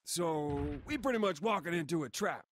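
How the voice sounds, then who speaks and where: A man playing a cool, easygoing robot soldier in a video game, slangy and quick with wisecracks. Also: fairly high pitch. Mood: casual, confident. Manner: slangy, laid-back, lively. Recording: clearly noisy game-scene background.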